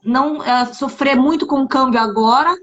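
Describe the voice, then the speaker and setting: Chatty high-voiced woman hosting a live stream. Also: moderate pace. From Brazil. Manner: chatty and upbeat, often asking "né?". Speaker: exchange agency host. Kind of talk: casual live-stream chat. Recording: slightly dull, lo-fi live-stream audio.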